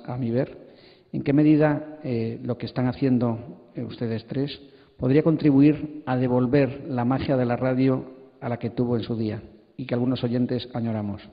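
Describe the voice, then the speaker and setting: Reverberant middle-aged Spanish man moderating. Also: medium pitch. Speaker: panel moderator. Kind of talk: hesitant spoken moderating. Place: large hall at a congress. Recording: muffled, long hall reverb.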